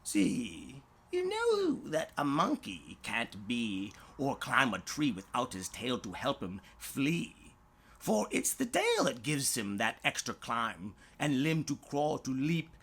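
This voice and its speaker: A storyteller narrating a rhyming bedtime story in a theatrical, drawn-out way with dramatic swoops. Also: mid-range voice, fairly bright tone, husky. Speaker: storyteller, author reading own story.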